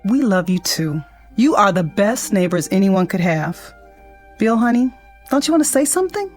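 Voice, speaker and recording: An actress playing a gracious, affectionate doctor's wife, effusive in a radio drama. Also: female voice, medium pitch, big pitch swings, moderate pace, faint music behind. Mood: grateful, affectionate.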